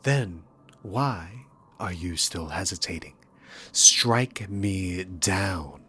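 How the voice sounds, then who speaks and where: A man's voice: lowish voice, fairly bright tone, a little hiss or hum. A man voicing a dominant, sarcastic demon king in an ASMR roleplay, taunting the listener with theatrical, arrogant condescension. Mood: goading.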